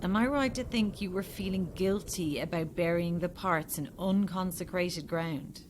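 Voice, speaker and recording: A woman playing a grim reporter in an audio horror drama, questioning in a measured, accusing way. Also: lowish voice, a little hiss or hum, natural voice. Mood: grim realization. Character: grimly probing female reporter confronting a killer.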